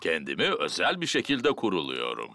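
A man's voice: a bass voice, wildly swooping pitch, a little fast, bright, forceful tone, a little hiss or hum, exaggerated voice. An actor playing a big, jolly father pig in a dubbed preschool cartoon.

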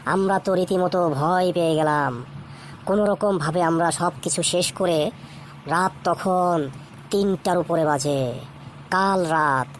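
A woman's voice: lowish voice, measured pace, pauses often, a little hiss or hum, natural voice. A woman voicing a jovial village uncle in a cartoon, telling a ghost story in a colloquial, suspenseful way.

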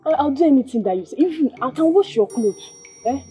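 A woman's voice: high voice, lively intonation, a little fast, dark tone, soft background music. A woman with a Nigerian accent playing a frightened young housemaid who pleads and begs in a comedy skit. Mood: pleading. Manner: pleading, begging.

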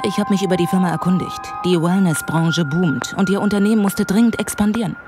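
A woman acts out a self-possessed, teasing police investigator in an audio drama. Her voice sits a little low, her manner is matter-of-fact, and music plays behind her. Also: fast, natural voice. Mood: firm. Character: composed, teasing female Scotland Yard investigator. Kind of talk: German audio drama acting.

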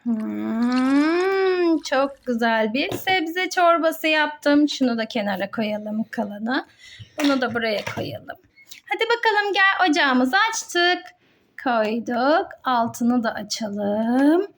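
A woman with a high voice presents toy play for children. She improvises her narration at a slow pace, explaining each small step simply to young viewers. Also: animated, a bit bright, slight background noise.

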